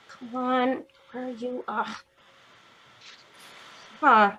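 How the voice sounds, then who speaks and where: An actress voices a tipsy young woman after a night out, giggling often and drawling her lines in a radio play. Her voice sits a little high and swoops lively up and down. She talks slowly and loosely. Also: exaggerated voice, rough recording. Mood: flustered. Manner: giggly, drawn-out tipsy delivery. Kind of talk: radio drama acting.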